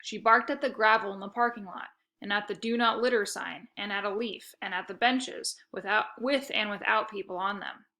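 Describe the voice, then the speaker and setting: A woman reading a children's book aloud as an expressive storyteller, acting out the dialogue. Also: medium pitch, moderate pace, few pauses. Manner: animated, acts out dialogue.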